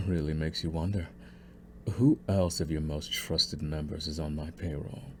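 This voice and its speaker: Very deep-voiced, smug young mafia boss, acted by a man. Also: fairly even intonation, normal speed, darkish tone, a little hiss or hum. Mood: smug menace. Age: young adult. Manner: taunting, condescending, theatrical. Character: young male mafia boss, possessive, smug, menacing schemer. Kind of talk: scripted ASMR audio roleplay.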